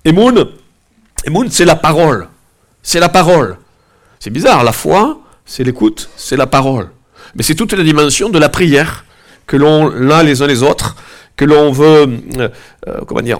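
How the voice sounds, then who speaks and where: A man with a head cold giving a relaxed, conversational sermon to his congregation, with many fillers and asides, also leading a prayer. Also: on the high side, moderate pace. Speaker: preacher. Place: church gathering, also streamed online.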